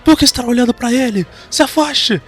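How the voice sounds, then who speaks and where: A man's voice: very high-pitched, quick pace, voice pushed up. Young male slave played by a man, audio drama. Panicky, wry survivor muttering his thoughts, music underneath. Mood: alarmado. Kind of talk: audio drama inner monologue.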